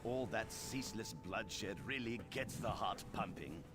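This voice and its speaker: An actor playing a hearty, grandiose battlemaster who relishes bloody arena games in a video game. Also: male voice, on the low side, measured pace, loud music behind the voice, natural voice. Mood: bloodthirsty relish. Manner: theatrical, grandiose. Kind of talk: video game character dialogue.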